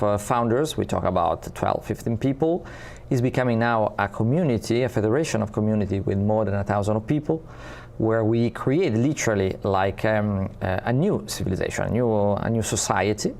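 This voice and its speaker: A man from Italy with an Italian accent, a guest in an interview, speaking earnestly and often pausing to find his words. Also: lowish voice, creaky, darkish tone. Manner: earnest, searching for words with many pauses. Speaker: guest, spiritual community ambassador.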